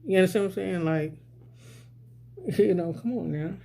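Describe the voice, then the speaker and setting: A man's voice: sits high, moderate pace, vocal fry, background noise. A man vlogging a rambling, casual social commentary, often repeating "you know what I'm saying.".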